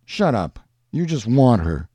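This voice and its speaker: A man voicing a gruff, lovesick, down-on-his-luck beer drinker with blunt, defensive street talk in a story reading. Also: mid-range voice, very animated, unhurried, mellow, no room sound, pitched above the performer's own voice. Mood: defensive anger.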